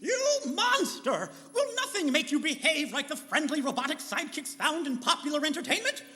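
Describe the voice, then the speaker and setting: A man's voice: extremely high pitch, sing-song, moderate pace, bright, forceful tone, exaggerated voice. A man playing a fussy, pedantic scientist who stammers and gets melodramatic in a live pulp sci-fi radio comedy. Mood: exasperated. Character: fussy, grumbling, panicky scientist doctor. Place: live convention stage. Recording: echoey hall, noisy, clicks.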